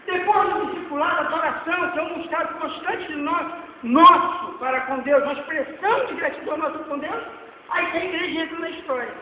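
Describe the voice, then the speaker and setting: Forties male preacher, Brazilian accent, fervent then hushed, recorded roomy and far with faint hiss. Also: extremely high pitch, a little fast. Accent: Brazilian Portuguese. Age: 40s. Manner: swings between loud exhortation and quiet asides. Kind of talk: sermon with slides. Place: church hall. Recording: echoey hall, dull lo-fi sound, faint noise.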